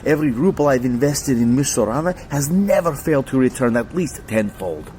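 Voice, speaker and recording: A man's voice: mid-range voice, animated, brisk, dark tone, a little hiss or hum, lightly acted. A man playing a wealthy, courtly client in an audio drama, effusive and pleased with himself, savoring his words. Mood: boastful, effusive. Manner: courtly, boastful, chuckling hesitations, savoring words. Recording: music under the voice.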